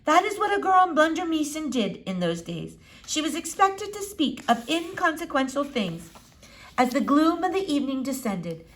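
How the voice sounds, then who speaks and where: An elderly lady doctor from a storybook , as a woman reader plays her, full of wistful philosophy. Her pitch sits fairly high and swoops widely as she makes quaint, emphatic pronouncements. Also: sing-song, normal speed, low-quality recording. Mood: gloomy reminiscing. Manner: emphatic, quaint formal phrasing with repetitions.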